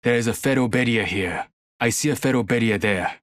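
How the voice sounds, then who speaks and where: Very deep-voiced game fighter. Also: female voice, normal speed, no room sound, clean studio sound. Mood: serious. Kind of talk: video game character callouts.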